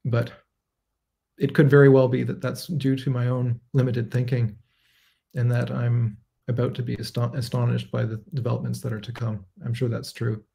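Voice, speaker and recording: A reflective man in his thirties speaks at his desk in a book-lined home study, picked up by his webcam on a livestream. His voice is dark and mellow, with a gravelly fry at the ends of phrases. He answers viewers' questions in a measured, unscripted way, with fillers and self-corrections as he thinks aloud. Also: medium pitch, flat delivery. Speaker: composer hosting a livestream Q&A. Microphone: webcam framing at desk, livestream.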